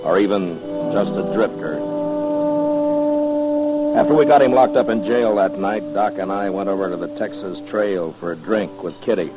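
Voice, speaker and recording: Muffled old radio sound carries an actor playing a stern western Marshal with a very low male voice. He is terse and grave, a world-weary lawman who speaks plainly, with music in the recording. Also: normal speed, lightly acted. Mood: grave, reflective. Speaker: lead actor and first-person narrator. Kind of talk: 1950s western radio drama acting and narration.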